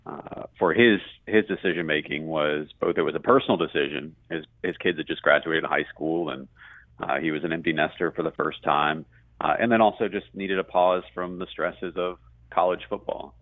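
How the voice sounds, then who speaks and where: A man's voice: a bass voice, moderate pace, no room sound. A man talking sports as a radio play-by-play guest, in a chatty, conversational analysis style. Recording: muffled, band-limited phone-like line.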